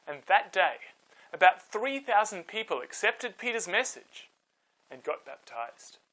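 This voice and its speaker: Male children's storyteller, high voice, very animated intonation, dramatic emphasis, scripted narration. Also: unhurried, rough recording.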